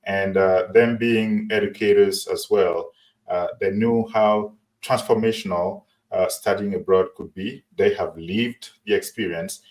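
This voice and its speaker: A man hosting an interview, asking long questions with frequent pauses and restarts. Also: lowish voice, steady tone, a little mellow, rough recording. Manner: halting, many fillers and restarts. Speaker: interview host.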